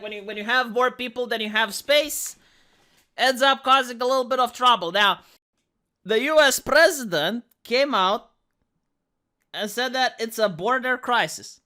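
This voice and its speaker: A woman with a Romanian accent and a squeaky voice, hosting sarcastic political commentary with rambling restarts. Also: animated, moderate pace, pushed and bright. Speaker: commentary channel host.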